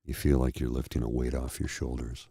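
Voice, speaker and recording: A man with a very deep, dark, husky voice speaks slowly and earnestly, a tired frog in his throat. The sound is dry and close, with no room around it. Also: little pitch movement, no room sound. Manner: slow, earnest, heartfelt. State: tired and a little froggy-throated. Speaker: host. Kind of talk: candid personal talk to viewers.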